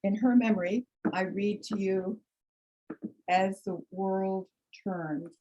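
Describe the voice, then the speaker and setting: A woman reading poetry and introducing it, with measured, deliberate phrasing. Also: medium pitch, steady tone, warm tone, muffled recording. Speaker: congregation member reading poetry.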